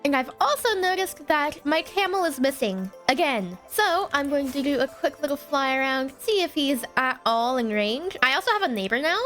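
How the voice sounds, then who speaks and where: High-voiced congested woman gaming commentary. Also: lively intonation, normal speed, few pauses, fairly bright tone, faint music behind. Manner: chatty, thinks aloud while building. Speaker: gaming YouTuber. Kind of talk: let's play commentary.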